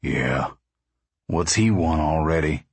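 A man voicing a stern, suspicious middle-aged farmer father in a storybook, gruff and folksy. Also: extremely low pitch, expressive, takes it slowly, no room sound, pitched below the performer's own voice, heavily acted. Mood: suspicious, grumbling.

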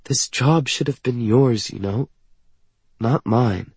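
A man's voice: medium pitch, big pitch swings, normal speed, husky, dry recording, voice pushed up. A man voicing an anxious, self-doubting young woman in an audiobook, tender and apologetic, with a hesitant, emotional delivery. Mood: quietly defeated. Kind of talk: audiobook dialogue acting.

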